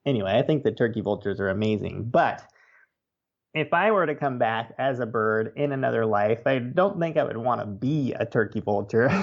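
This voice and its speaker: A man's voice: mid-range voice, vocal fry, muffled recording. A man with an American accent, an interview guest telling stories conversationally. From United States, Oregon. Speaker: author and birder, interview guest.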